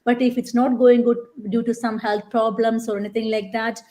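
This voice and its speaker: Woman host, upper voice, relaxed rambling live-stream interviewer. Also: fairly high pitch, normal speed. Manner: chatty, many fillers and restarts.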